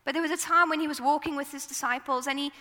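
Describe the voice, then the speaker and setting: A woman with a South African accent preaching a sermon into a microphone, telling the story in a relaxed, conversational way. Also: high voice, fast, big-room sound.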